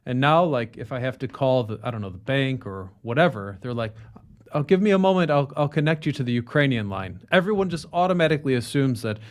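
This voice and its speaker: A man's voice: medium pitch, expressive, fairly quick. A man hosting a podcast interview, asking questions in a friendly, joking way. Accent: non-native speaker of Polish, foreign. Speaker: podcast host.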